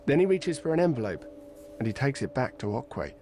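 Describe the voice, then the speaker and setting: Calm man describing film action. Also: mid-range voice, fairly quick, faint music behind. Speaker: audio describer. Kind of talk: scripted narration.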